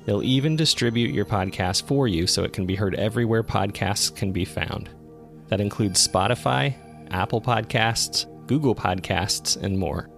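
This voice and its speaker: A man's voice: fairly low pitch, normal speed, creaky, faint music behind. A man hosting a podcast, reading scripted narration straight to the listener. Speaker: podcast producer and host.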